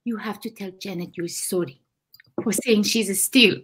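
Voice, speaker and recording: A woman's voice: medium pitch, normal speed, a bit bright, husky. A woman playing a fretful Korean immigrant mother, speaking pleading broken English with a Korean accent on a video call. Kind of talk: live table read.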